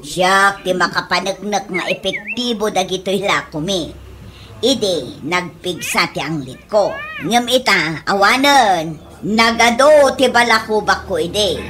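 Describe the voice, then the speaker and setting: A woman's voice: mid-range voice, lively intonation, takes it slowly, a crowd in the background. A woman with an Ilocano accent playing a boisterous wife who peddles perfume in a comedy radio drama. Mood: boastful selling.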